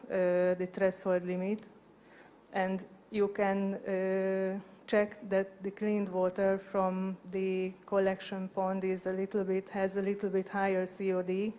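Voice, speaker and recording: A woman's voice: mid-range voice, dark tone. A woman in her thirties with a Hungarian accent gives a conference presentation in hesitant non-native English into a handheld microphone. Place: conference hall at a lectern. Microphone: handheld microphone held at chest level.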